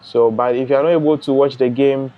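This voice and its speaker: A young man from Ghana hosting a live football watch-along, chatting and reading out viewer comments in a relaxed way. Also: medium pitch, brisk, muffled recording. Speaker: live stream host.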